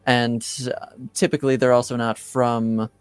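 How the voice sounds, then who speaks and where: A man's voice: medium pitch, flat delivery, normal speed, smooth, no room sound, close to an everyday voice. A man playing a polite, flustered senior enchanter in a tabletop roleplay, speaking formally and hesitantly.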